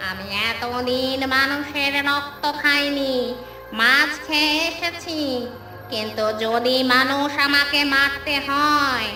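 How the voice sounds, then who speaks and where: High, echoing ghost woman. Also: bright, forceful tone, heavily acted. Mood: menacing. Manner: menacing, drawn-out commanding threats. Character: vengeful but loyal fish-loving female village ghost. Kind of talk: Bengali horror cartoon voice acting. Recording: roomy echo, distant, music behind.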